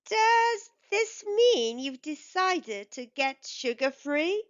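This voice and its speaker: Woman as a kind, high-voiced storybook beaver. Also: very animated, takes it slowly, lo-fi sound, heavily acted. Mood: forgiving. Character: kind, forgiving male beaver worker friend.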